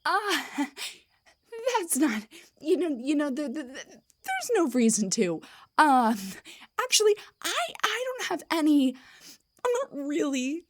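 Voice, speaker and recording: A woman voicing an indignant, defensive half-drow bard in a scripted fantasy roleplay audio, with a breathy, theatrical delivery. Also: high-pitched, big pitch swings, slow, exaggerated voice. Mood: panicked, stammering. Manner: theatrical, sarcastic, emphatic, stammers when rattled. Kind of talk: scripted roleplay monologue, one side of a conversation.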